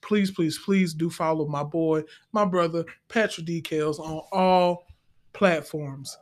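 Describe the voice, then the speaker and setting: A woman's voice: sits low, slow. An American woman speaking in a casual interview style as a podcast guest. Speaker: podcast guest, stage play actress.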